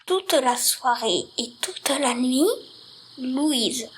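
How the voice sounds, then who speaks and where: Slow measured lady narrator for a radio story, high voice, faint noise behind.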